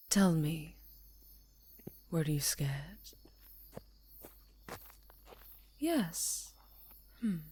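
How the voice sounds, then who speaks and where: A woman's voice: low voice, a little mellow, husky, full character voice. A woman playing a haughty, seductive vampire countess who speaks in a poised, teasing, condescending purr in an ASMR fantasy roleplay. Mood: probing, admiring.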